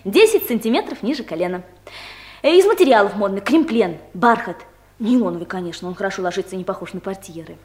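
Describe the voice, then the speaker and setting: An actress playing a brisk, self-assured young secretary who knows fashion, in a 1970s radio comedy. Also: female voice, mid-range voice, expressive, darkish tone, close to an everyday voice. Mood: confident, lecturing. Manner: instructive, emphatic, self-assured.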